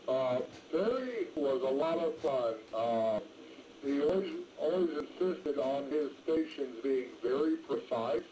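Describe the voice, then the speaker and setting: A man's voice: mid-range voice, lively intonation, slow pace. A man chatting casually over two-way radio in a folksy, rambling way as an amateur radio operator. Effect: two-way radio transmission. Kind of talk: casual ham radio chat. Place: mobile, in a pickup truck. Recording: noisy ham radio transmission.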